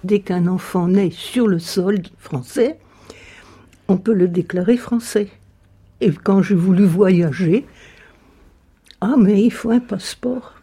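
An older woman tells a personal story slowly and acts out what people said to her. Her voice is husky and breathy, and warm in tone. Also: medium pitch. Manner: anecdotal, acts out quoted dialogue. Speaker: interviewee.

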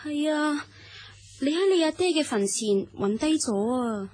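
A woman acts out a young maidservant for radio drama. Her voice is high, with an anxious, fussing manner and the deferential pleading of someone devoted to her mistress. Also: expressive, a little slow, a little mellow. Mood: flustered. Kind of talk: Cantonese radio drama acting.

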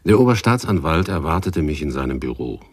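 An actor playing a world-weary, wry private detective, narrating and acting in a hard-boiled radio drama with laconic, dry delivery. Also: male voice, extremely low pitch, big pitch swings, a little fast, noisy background. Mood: dry, sceptical. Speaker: narrator and lead character.

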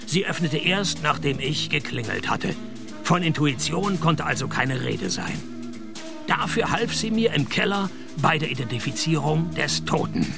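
A sardonic private detective in a radio play. His voice is high and gravelly, swinging widely in pitch while the delivery stays dry and deadpan. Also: measured pace, music in the background, full character voice. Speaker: narrator and lead actor.

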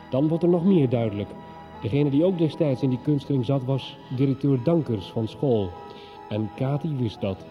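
Calm male radio announcer, dull sound. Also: medium pitch. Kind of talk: scripted recap narration. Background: music.

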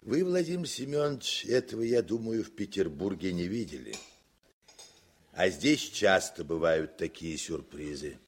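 An actor playing a genial, authoritative artillery commander with a husky voice in a Soviet radio drama. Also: male voice, low-pitched, lively intonation, moderate pace. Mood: genial, hearty. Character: genial, brisk artillery commander among his officers.

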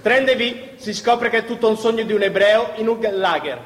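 A man's voice: high voice, normal speed. A man performing a live theatre recitation as a stage actor. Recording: echoey theatre hall, long reverb.

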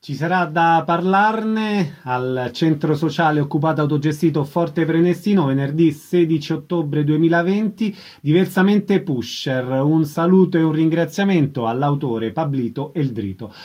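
A man's voice: on the high side, animated, moderate pace, few pauses, a little mellow. A man hosting a radio interview, putting questions and addressing his guest.

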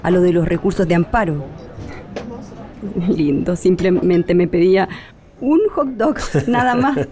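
A woman's voice: fairly low pitch, measured pace, mellow, lightly acted. An actress playing a tender, nostalgic middle-aged Chilean mother back from exile, reminiscing in a radio drama. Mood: fond, nostalgic.

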